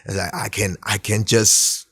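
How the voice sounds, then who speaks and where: Deep-voiced laid-back man from Ghana. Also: fairly even intonation, unhurried, gravelly, fairly bright tone, husky, no echo, clean studio sound. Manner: laid-back, rambling, frequent "do you know what I mean". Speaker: guest musician. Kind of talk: casual interview chat.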